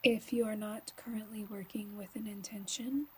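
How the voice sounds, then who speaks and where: A woman guiding a slow meditation in a soft, breathy voice, often near a whisper, calm and soothing, with long pauses. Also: medium pitch. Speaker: yoga nidra guide.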